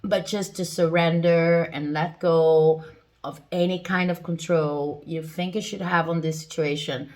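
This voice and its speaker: Low-voiced woman reading tarot. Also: fairly even intonation, measured pace, darkish tone. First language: Portuguese. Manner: reassuring, explanatory. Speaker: tarot reader. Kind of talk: pick-a-card tarot reading.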